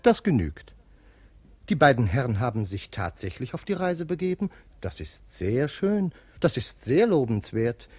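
An ironic, courtly master of ceremonies. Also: male voice, medium pitch, sing-song, a little slow, a little mellow, breathy edge, dry recording. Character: ironic male master of ceremonies addressing the audience. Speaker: narrator in a radio play. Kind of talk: 1950s radio play acting. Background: music.

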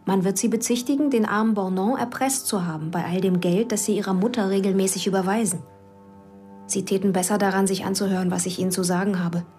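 An actress playing a cool, calculating police investigator who talks tersely, with whispered inner asides. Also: female voice, medium pitch, steady tone, fast, warm tone, soft background music, lightly acted. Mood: cool, pressing. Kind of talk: radio play acting.

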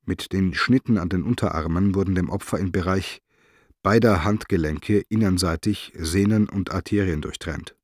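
An actor playing an impassive official who reads a police record in a matter-of-fact, bureaucratic way in a radio drama. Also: male voice, low-pitched, moderate pace, darkish tone, dry recording, studio quality. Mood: detached, factual. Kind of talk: formal read-out report in a radio drama.

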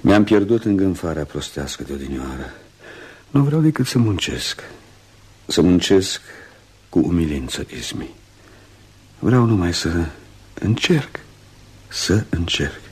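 Deep, husky, brooding doctor. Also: male voice, very animated, darkish tone, heavily acted. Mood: humble, pleading. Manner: brooding, halting, earnest. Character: troubled, humbled male English doctor, once a cold rationalist. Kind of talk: Romanian radio theatre acting.

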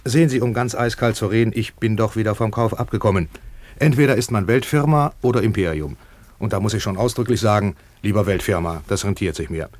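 An actor playing a brash, self-important merchant tycoon in a radio play, talking in a pompous, emphatic business manner. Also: male voice, on the low side, brisk, darkish tone, dry recording, lightly acted. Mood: businesslike. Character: brash, self-important tycoon trouser manufacturer in ancient Rome. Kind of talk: German radio play acting.

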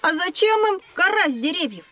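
A woman's voice: very high-pitched, very animated, a little fast, bright, forceful tone, heavily acted. A woman playing a curious, polite child pupil in an educational audio drama. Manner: inquisitive, polite.

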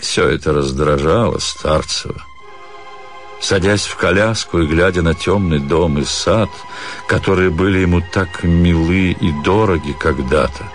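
A man reading scripted literary narration in a measured way, with deliberate pauses. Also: sits low, vocal fry. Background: music.

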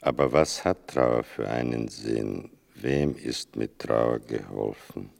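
An actor playing an older narrator in a literary radio play, gravelly and deliberate, with a bitterly ironic, mournful manner. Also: male voice, rumbling low, darkish tone, exaggerated voice. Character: sardonic, mournful male narrator telling his mother's wartime story.

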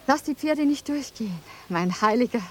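A woman's voice: a little high, animated, normal speed, lightly acted. An actress playing a tender woman saying farewell to her love, plaintive and intimate, in a radio drama. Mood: tender, fearful. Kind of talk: German radio drama acting. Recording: clear background noise.

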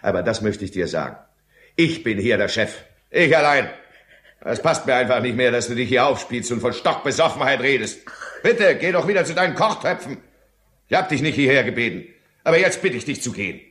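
A man playing an alcoholic businessman husband in a German radio drama, brooding, irritable and quick to justify himself. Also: mid-range voice, animated, fairly bright tone, slightly rough. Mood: indignant, defensive. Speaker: lead character and first-person narrator.

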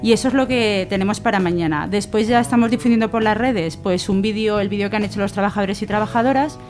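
A woman with a Spanish accent from Spain talks at a middle pitch as a guest activist. Her delivery is informal and explanatory, as in a radio interview, over faint music. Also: quick pace, darkish tone.

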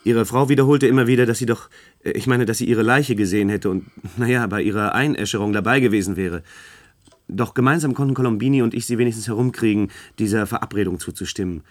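Schauspieler als tiefer, konspirativer Mann im Science-Fiction-Hörspiel. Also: male voice, lowish voice, fast talker, close to an everyday voice. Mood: earnest, explaining. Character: discreet male insider conspirator in a science-fiction future. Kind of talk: German radio play acting.

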